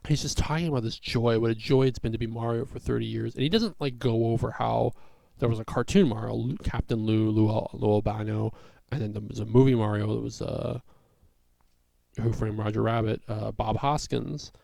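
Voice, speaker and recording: A young man, a comedian, talking through a personal vlog in a rambling way with a raspy voice and many fillers. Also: medium pitch, brisk, warm tone, no room sound. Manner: rambling, full of fillers and restarts.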